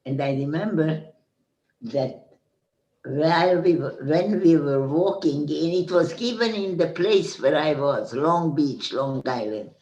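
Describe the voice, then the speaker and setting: A woman in her nineties with a Hungarian accent, giving slow, halting testimony as a survivor. She often restarts phrases and checks in with "Okay?". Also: sits low, a little mellow, dull audio. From Hungarian-speaking Central Europe. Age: 90s. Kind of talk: slow personal recollection. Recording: roomy echo.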